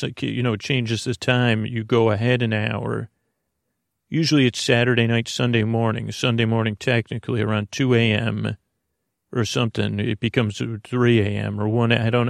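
An American man with a gravelly, creaky voice hosting a bedtime podcast, meandering and halting as he trails off mid-thought. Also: medium pitch, no room sound, clean studio sound. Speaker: podcast host. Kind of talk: rambling bedtime monologue to help listeners sleep.

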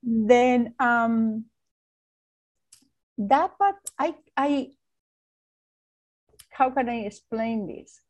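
A woman's voice: on the high side, extremely slow, pauses often, darkish tone, low-quality recording. A woman from Spain with a Spanish accent, a guest on an interview, searching for words and laughing often as she talks. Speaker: architect, guest. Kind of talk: interview conversation.

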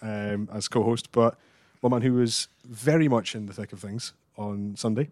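A man hosting a casual sports podcast, brisk and upbeat. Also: fairly low pitch, fairly even intonation, darkish tone, no echo, studio quality. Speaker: podcast host.

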